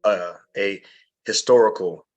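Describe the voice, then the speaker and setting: A man's voice: on the low side, steady tone, unhurried. A man hosting an inspirational podcast interview, speaking earnestly and emphatically and repeating phrases for effect. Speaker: podcast host.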